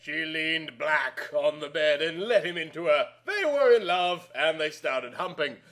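A man narrates fiction with exaggerated drama. His voice sits high for a man and leaps around a lot, stretching and pushing phrases. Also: animated, a little slow, fairly bright tone. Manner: theatrical, exaggerated delivery. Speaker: narrator reading amateur fan fiction aloud.

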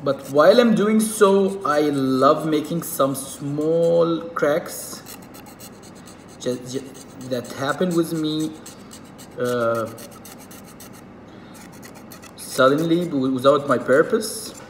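A man from Egypt with an Arabic accent, whose first language is Arabic. He speaks in a casual, halting way with many fillers, demonstrating something at a table indoors. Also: fairly high pitch, echoey room, slight background noise. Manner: halting, with frequent fillers and restarts. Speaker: reptile keeper.

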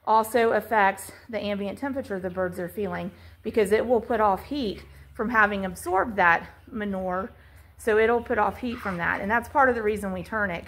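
A woman's voice: fairly high pitch, a bit bright. A woman poultry farmer explaining floor care as she films inside her chicken barn on a handheld phone, walking listeners through her reasoning. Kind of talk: instructional farm walkthrough. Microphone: handheld phone camera.

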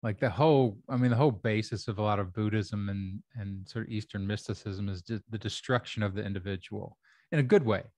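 A man's voice: lowish voice, a little fast, darkish tone, dry recording. A middle-aged man from Kentucky hosting a podcast, musing in a casual chat with wry joking asides, speaking into a desk microphone at home. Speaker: podcast host. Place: home room. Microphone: desk microphone on arm.

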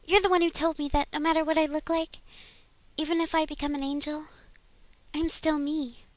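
A woman voicing a gentle, earnest teenage heroine with a sweet girlish voice in an anime game dub audition. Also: high-pitched, flat delivery, normal speed, no echo, natural voice.

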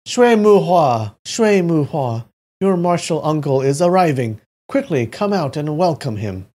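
A narrator voicing a commanding martial uncle calling out in a drawn-out way. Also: mid-range voice, sing-song, darkish tone, pitched above the performer's own voice. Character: distant martial uncle, slow soft commanding call.

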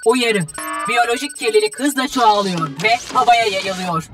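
Woman as a clipped, high-voiced robot alert system. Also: normal speed, far from the mic, music in the background, natural voice. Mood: urgent, impassive. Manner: clipped, formal, emotionless. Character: robot's computer alert system, cool and urgent. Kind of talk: cartoon alert announcements.

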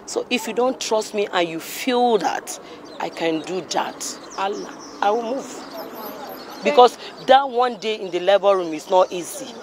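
Middle-aged Nigerian woman, earnest vox-pop answer, outdoors with loud traffic noise. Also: mid-range voice, measured pace. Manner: earnest, telling a story with stops and restarts. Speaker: interviewee. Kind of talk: street interview answer. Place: busy city street outdoors. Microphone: handheld mic held by interviewer.

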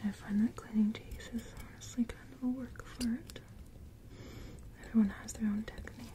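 A breathy, whispering female dental hygienist, played by a woman in an ASMR roleplay. Her voice sits a little high and is softly mellow. She is gentle, attentive and reassuring, never hurried. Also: steady tone, lightly acted. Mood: calm, musing. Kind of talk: soft-spoken ASMR personal-attention roleplay.

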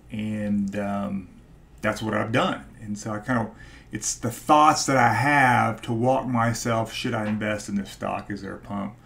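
Guy in his 40s chatting to camera from his office, creaky gravelly voice, stutters, halting delivery. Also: fairly low pitch, fairly bright tone. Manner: halting, searching for words. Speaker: YouTube host, stock investor. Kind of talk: casual vlog talk to camera. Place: small home office, indoors.

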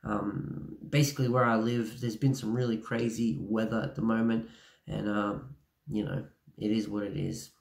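A man's voice: on the low side, normal speed, vocal fry, breathy edge. Nasal young man with a cold. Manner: excited, chatty, many fillers. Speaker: toy reviewer. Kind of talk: casual review vlog. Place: small room at home.

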